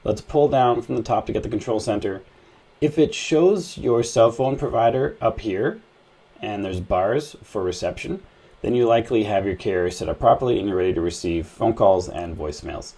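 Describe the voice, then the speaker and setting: A man with a lowish, mellow voice and a husky grain walks the listener through phone steps. He is plain-spoken and conversational, instructing casually as a tutorial host. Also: moderate pace.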